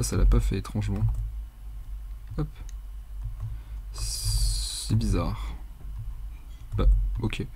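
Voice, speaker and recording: A young man giving a casual, unscripted software tutorial in a hesitant, rambling, colloquial way, with a slightly husky voice. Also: lowish voice. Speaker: tutorial presenter.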